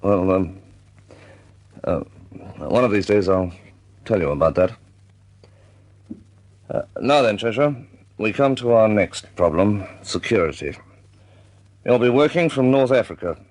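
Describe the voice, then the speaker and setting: A very low male voice as a senior wartime air force officer. He is measured and authoritative, pausing as he thinks, with a shrewd, wry streak in a radio drama style. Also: expressive, darkish tone.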